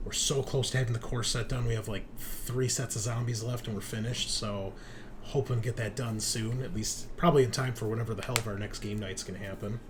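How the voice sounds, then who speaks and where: A man's voice: mid-range voice, steady tone, fairly quick, noisy background. A man hosting a game stream in casual, informal chat, swearing freely. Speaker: host and game master.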